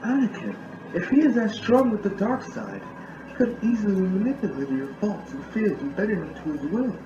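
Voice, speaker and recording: A man's voice: sits high, very animated, normal speed, muffled recording, pitched above the performer's own voice. A man voicing an earnest male Jedi master who pleads with and reasons with his fallen student. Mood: urging. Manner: urgent, reasoning. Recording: echoey room with music behind.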